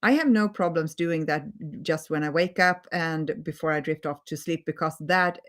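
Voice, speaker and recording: A woman with a Swedish accent, a native Swedish speaker, giving a gentle, earnest live-stream talk as a holistic healing coach, repeating words for emphasis. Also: low-pitched, moderate pace. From Sweden.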